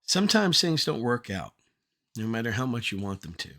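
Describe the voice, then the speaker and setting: A man with an average-pitched voice recites verse as a poet at a read-through. His delivery is earnest and deliberate, with the lines broken into short, measured phrases. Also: sing-song, a bit bright. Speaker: poet reading own work. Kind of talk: poetry recitation at a draft table read.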